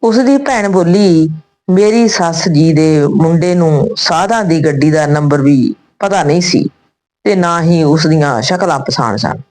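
A woman reading a scripted story and its dialogue in a deliberate, phrase-by-phrase manner. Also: sits low, a little mellow, low-quality recording.

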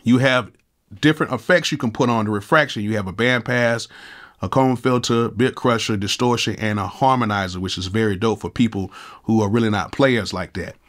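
A man in his thirties with a cold and a nasal voice, casually walking through music software in a laid-back, slangy way from his home studio. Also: fairly low pitch, brisk. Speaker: music producer and YouTube host.

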